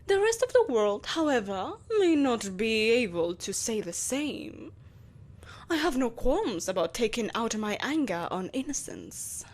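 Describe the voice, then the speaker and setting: A woman playing a vengeful, possessive empress in an audio roleplay, speaking in a haughty, theatrical and deliberately menacing way. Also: on the high side, very animated. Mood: silky menace. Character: vengeful, possessive evil empress, soulless, imperious, coaxing a captive. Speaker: voice actor. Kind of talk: scripted audio roleplay acting.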